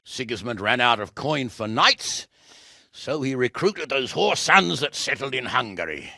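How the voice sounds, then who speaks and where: An actor playing a gruff, sardonic middle-aged medieval lord and commander in a video game, blunt and coarse, with a gravelly voice. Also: male voice, mid-range voice, sing-song, a little slow, bright, forceful tone, dry recording, clean studio sound, full character voice. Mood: grave, world-weary. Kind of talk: dramatic video game voice acting.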